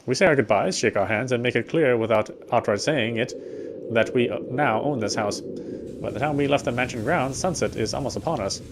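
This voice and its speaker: A man's voice: medium pitch, a little fast, background noise. A man reading first-person visual novel narration aloud as he plays the game. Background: background music.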